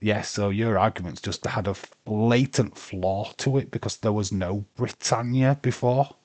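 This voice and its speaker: Guy on a stream, kinda low and slow, arguing and tripping over his words, dry mic. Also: darkish tone, no room sound. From England. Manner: argumentative, stumbling over words and laughing. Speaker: livestream host. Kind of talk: casual livestream chat.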